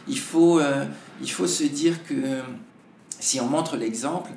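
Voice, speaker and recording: Hoarse, gravelly man in an echoey room. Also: a little high, darkish tone, a little hiss or hum. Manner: halting, many restarts and fillers. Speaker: podcast guest. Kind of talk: casual conversation.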